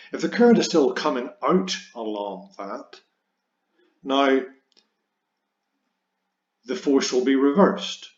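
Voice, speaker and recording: A man teaching a physics lesson, explaining step by step and stressing key words. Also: medium pitch. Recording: roomy echo, dull lo-fi sound.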